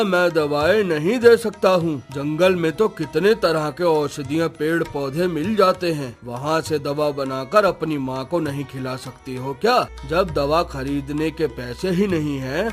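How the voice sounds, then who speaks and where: A man's voice: on the high side, a little slow, hardly any pauses, soft background music, lightly acted. A man voicing a callous village shopkeeper in a cartoon moral story, curt and scornful.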